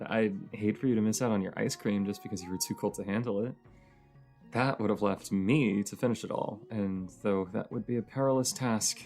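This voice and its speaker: A woman playing a cozy, teasing young roommate with a shy crush in an intimate audio roleplay, her voice sleepy and grumbly. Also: a little mellow. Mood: playful, warm. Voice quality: sleepy, grumbly low voice with creak.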